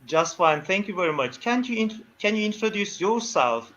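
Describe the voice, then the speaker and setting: A man hosting a live practice stream, speaking haltingly with many fillers as he welcomes and questions a guest. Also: high-pitched, sing-song, fairly bright tone. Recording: dull lo-fi stream audio.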